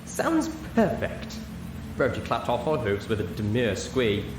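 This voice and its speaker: A man reading a story aloud as narrator, in an expressive scripted style. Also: lowish voice, a little fast. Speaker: audiobook narrator. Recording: roomy, echoey, clear background noise.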